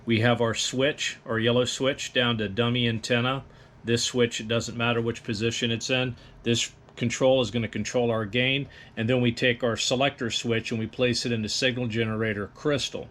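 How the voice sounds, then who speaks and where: A man's voice: medium pitch, little pitch movement, normal speed, fairly bright tone. A man presenting an explanatory equipment demonstration in a methodical, instructional manner. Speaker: presenter of radio equipment tutorial.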